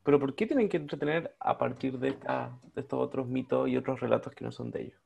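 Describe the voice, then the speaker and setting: A man's voice: medium pitch, quick pace, vocal fry, a little mellow, slightly rough. A man with a Chilean Spanish accent, speaking casually as a panelist in a debate. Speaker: discussion panelist.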